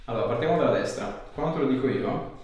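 A man's voice: medium pitch, animated, quick pace, a little mellow. A man in his thirties working as a chiropractor, giving short, reassuring instructions to a patient during a hands-on exam. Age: 30s. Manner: reassuring, encouraging. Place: small clinic treatment room. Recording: very echoey small room, faint noise, clicks.

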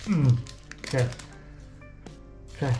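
A man's voice: medium pitch, lively intonation, takes it slowly. A young man with a German accent, joking to himself as he cooks in a small home kitchen for his vlog. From German-speaking country. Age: young adult. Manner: joking, self-talk while working. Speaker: cooking vlogger. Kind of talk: casual cooking vlog. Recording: echoey kitchen, faint music.

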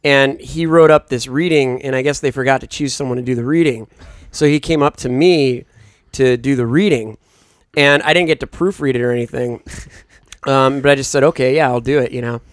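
Young male co-host, rambling self-deprecating storyteller, mid-pitched, dry and close. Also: steady tone, brisk, no echo. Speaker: podcast co-host. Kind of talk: casual storytelling on a comedy podcast.

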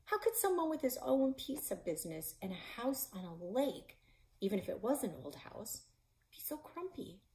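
A woman in her forties, a librarian and author, reading her children's novel aloud in a living room, voicing the characters with shouts and whispers. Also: fairly high pitch, sing-song, moderate pace. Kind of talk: children's book read-aloud. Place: living room in front of a stone fireplace.

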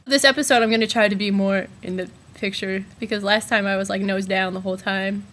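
A woman's voice: medium pitch, steady tone, a little fast, fairly bright tone, a little hiss or hum. A teenage girl from Arizona co-hosting a casual webcam chat at home, teasing and giggly. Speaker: co-host. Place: bedroom at home, indoors. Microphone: built-in laptop webcam mic.

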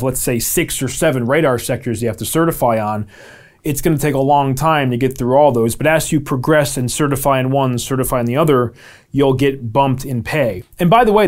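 A man in his thirties with an American accent speaks at a middling pitch. He explains things informally to camera in a conversational way, putting weight on key terms. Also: a little mellow. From Albany, New York, USA. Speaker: video presenter. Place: small home office, indoors.

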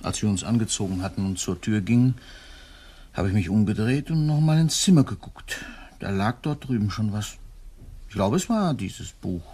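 Bold employee, rasping lowish voice, outwardly respectful, pointed, radio crime drama. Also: male voice, expressive, moderate pace, mellow. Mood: matter-of-fact, pointed. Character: bold, insinuating male household employee. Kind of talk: crime radio play acting.

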